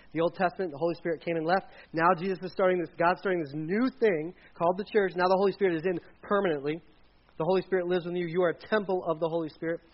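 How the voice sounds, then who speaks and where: A man with a fairly high voice delivers a sermon in a loose, conversational way, often restarting his sentences and acting out the people in the passages he retells. The recording sounds a little dull and lo-fi. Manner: conversational, retells scripture with acted quotes and restarts. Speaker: pastor. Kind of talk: sermon with slides.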